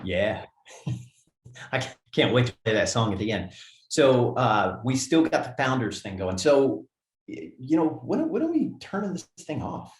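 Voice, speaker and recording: A man's voice: medium pitch, very animated, a little slow. A man co-hosting a company livestream in a casual, upbeat way, reading names from the chat and laughing often. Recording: echoey room.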